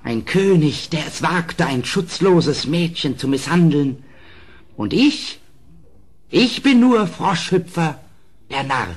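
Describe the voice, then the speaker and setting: An actor playing a bitter, mocking dwarf court jester bent on revenge, declaiming theatrically in a radio play. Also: male voice, fairly high pitch, animated, slow pace. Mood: bitter, menacing. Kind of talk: dramatic German radio play acting.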